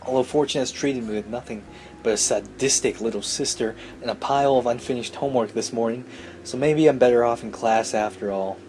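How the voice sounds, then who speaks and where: Mellow-voiced man narrating a game playthrough. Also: medium pitch, a little fast, slight background noise, poor audio. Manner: irreverent, sweary. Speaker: let's play host. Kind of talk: reading visual novel aloud with casual commentary.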